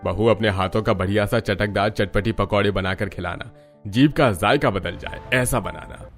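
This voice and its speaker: A man's voice: fairly low pitch, moderate pace, faint music behind, natural voice. A man voicing a middle-aged, food-loving father-in-law who grumbles and exaggerates in a family cartoon. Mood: eager requesting.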